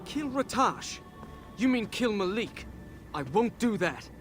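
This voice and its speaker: A young, anguished prince in a game cutscene. His voice sits high and moves with lively swings as he protests urgently and defiantly. Faint music plays behind him. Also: takes it slowly, big-room sound, full character voice. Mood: shocked, pleading. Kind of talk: video game cutscene acting.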